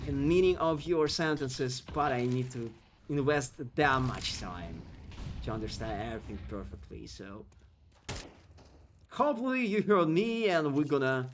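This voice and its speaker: Excitable non-native English esports caster, man. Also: a little hiss or hum. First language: not English. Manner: rapid, excitable calls that climb in pitch during action; non-native English phrasing, chatty asides to viewers. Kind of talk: solo play-by-play commentary with chat asides.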